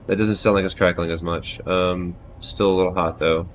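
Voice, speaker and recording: A man in his thirties chatting casually on a live stream from a small room at home, loud-voiced, loose and self-deprecating, with frequent swearing, on a headset mic. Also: low voice, flat delivery, normal speed, slight background noise. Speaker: game streamer.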